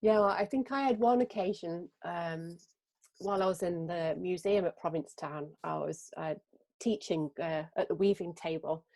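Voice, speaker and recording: A woman's voice: lowish voice, moderate pace, warm tone, dry recording. A woman with an English Midlands accent, hosting a podcast and chatting through anecdotes. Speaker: podcast host. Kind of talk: casual podcast conversation.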